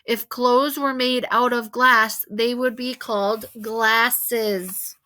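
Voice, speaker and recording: Female storybook reader, higher-pitched voice, expressive and animated narration for young listeners. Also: takes it slowly. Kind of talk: expressive read-aloud narration of a children's novel.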